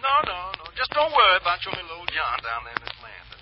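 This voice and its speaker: A man's voice: high voice, huge pitch swings, fast, over music. An actor playing a fugitive man who poses as a folksy Southern bumpkin, drawling sugary endearments in an old radio drama. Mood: folksy, coaxing. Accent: put-on American Southern drawl. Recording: dull, noisy old radio recording.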